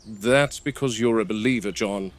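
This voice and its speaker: A man playing a stern, cautious game warden, speaking in a measured, matter-of-fact way as in a scripted found-footage field log. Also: on the low side, natural voice. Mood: stern, urgent. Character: stern, cautious male game warden logging park animal observations.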